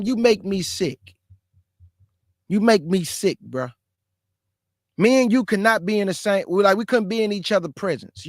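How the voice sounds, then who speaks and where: Male host with a high voice and dry sound, scolds in slang while reacting to clips. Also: fairly bright tone, no room sound. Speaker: show host. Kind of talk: reaction commentary.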